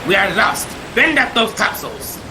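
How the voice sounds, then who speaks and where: A defiant, power-mad sea captain, played by a woman, declaims archaic orders with big swings in pitch. It is old-style radio drama with loud storm noise behind. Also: animated, a little slow. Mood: imperious, angry. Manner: commanding, archaic seafaring declamation. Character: defiant, tyrannical male ship's captain, mad with pride.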